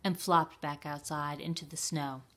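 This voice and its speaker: A woman reading a children's picture book aloud in a deliberate, expressive storytime style. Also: low-pitched. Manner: deliberate, expressive, with playful sound words. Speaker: storytime reader at a library.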